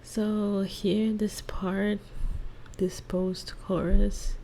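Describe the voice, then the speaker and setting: A woman speaking softly in an ASMR monologue, hushed and halting, lingering on phrases and at times slipping into a whisper. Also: medium pitch, little pitch movement, vocal fry, a little hiss or hum, rough recording. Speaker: ASMR creator and host. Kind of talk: soft-spoken ASMR role-play monologue with song analysis.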